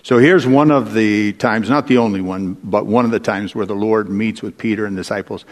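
Male preacher in a reverberant hall. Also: fairly low pitch. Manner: deliberate storytelling, dramatic pauses and rhetorical questions. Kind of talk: sermon retelling and explaining Bible stories. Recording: echoey large hall with long reverb.

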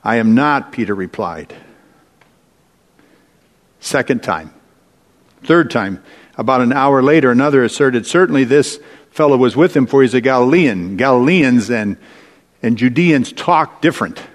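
A man preaching a sermon, retelling Bible stories in a deliberate, storytelling manner with dramatic pauses and rhetorical questions. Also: medium pitch, lively intonation. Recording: echoey large hall with long reverb.

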